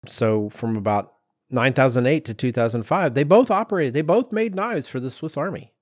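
A man's voice: mid-range voice, expressive, moderate pace, a little mellow, dry recording, studio quality. A man co-hosting a podcast, explaining things in a casual, chatty and conversational way. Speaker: podcast co-host. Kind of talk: casual conversational discussion of design objects.

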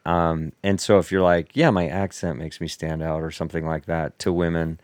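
A man's voice: low voice, little pitch movement, normal speed, a little mellow, dry recording, clean studio sound. A man from the Seattle area, co-hosting a casual podcast chat, rambling and restarting his sentences and laughing often. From Seattle area, United States. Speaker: podcast co-host.

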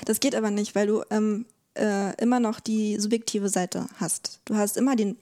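A woman's voice: medium pitch, steady tone, moderate pace, mellow. A woman explaining as an expert guest, hesitantly searching for her words. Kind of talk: explanatory conversation.

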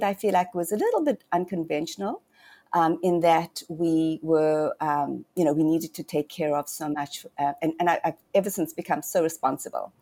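A woman's voice: on the low side, dark tone. A middle-aged woman, a leadership coach, telling her life story in an earnest, reflective way during a podcast interview. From South Africa. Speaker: guest, leadership coach and author.

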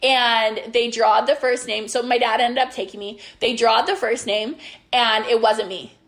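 A woman's voice: fairly high pitch, steady tone, unhurried, fairly bright tone. A young woman coaching on business and belief in a casual, earnest monologue, rambling with restarts, her voice worn after being sick.

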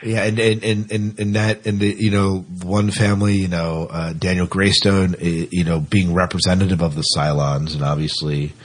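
A man with a very low, husky voice hosts a casual podcast discussion. He speaks haltingly, often doubling back on a phrase as he goes. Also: level tone. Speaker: podcast host. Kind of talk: casual discussion of TV science fiction.

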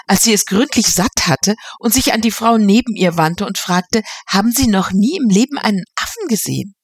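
A woman's voice: medium pitch, animated, normal speed, husky, no echo, voice pushed up. A woman reading aloud and voicing a posh, worldly man with a monocle who speaks in a drawling, knowing way. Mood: amused.

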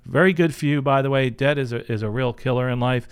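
A man's voice: medium pitch, fast, clear, resonant tone. A man hosting a podcast, giving career advice and answering listener questions in an informal, chatty, conversational way. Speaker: podcast host and career coach.

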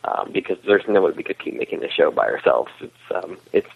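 Low-pitched man on a tinny phone line. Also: big pitch swings, a little fast, creaky, breathy edge. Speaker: interview guest, web series creator. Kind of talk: casual interview talk.

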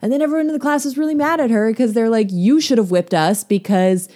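A woman's voice: a little high, fast talker, mellow. A woman discussing a novel in a casual podcast chat, talking with many fillers and easy laughs. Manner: chatty, lots of fillers and laughs.

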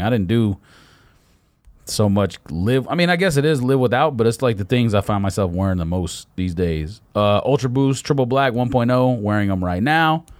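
A man's voice: medium pitch, no echo. An American man hosting a casual podcast, chatting and teasing his friends in slangy talk. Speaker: podcast host. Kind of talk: casual banter among friends about sneakers.

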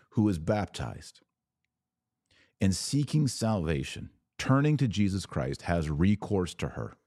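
A man with a deep, mellow voice hosts a religious podcast. He explains things emphatically and didactically, often posing rhetorical questions as he responds to listeners. The sound is dry and close. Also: no echo. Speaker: podcast host. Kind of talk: religious commentary answering live viewer comments.

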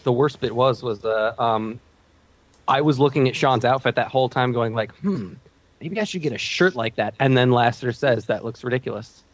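A man's voice: mid-range voice, moderate pace, no room sound, dull audio. A man co-hosting a podcast, casually discussing television in a joking, mock-incredulous way. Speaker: podcast co-host.

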